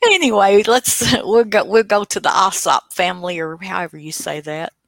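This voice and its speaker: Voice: medium pitch, normal speed, gravelly, a bit bright, no room sound. An older co-host chatting casually in a rambling, wry way. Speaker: radio show co-host. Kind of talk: casual chat.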